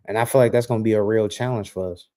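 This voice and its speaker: A man with a fairly low, mellow voice co-hosts a sports podcast. He lays out his basketball analysis casually, in slangy, explanatory talk. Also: steady tone, fast talker.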